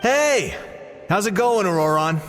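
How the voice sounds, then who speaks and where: A man's voice: sits high, animated, measured pace, a bit bright. A man voicing a jovial, chatty, easygoing uncle in a video game, with a hearty, folksy manner. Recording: long reverb, faint music.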